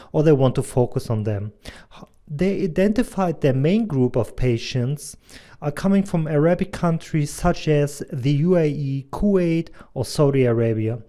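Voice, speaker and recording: Mellow-voiced German man presenting. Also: a little high. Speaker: digital advertising consultant and doctor. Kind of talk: scripted presentation voice-over.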